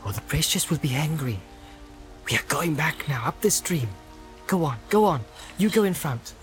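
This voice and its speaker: A man voicing an earnest young male hobbit in an audiobook, pleading and coaxing with gentle, firm command. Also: fairly high pitch, animated, moderate pace, faint music behind, pitched above the performer's own voice, lightly acted. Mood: firm, uneasy. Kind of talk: audiobook character voice.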